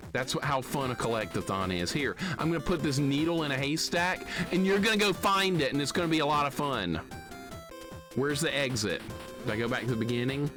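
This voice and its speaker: Male live streamer, mid-pitched, griping sarcastically through casual gameplay chat over prominent game music. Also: expressive, brisk. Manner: grumbling, sarcastic complaining. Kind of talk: casual gaming commentary.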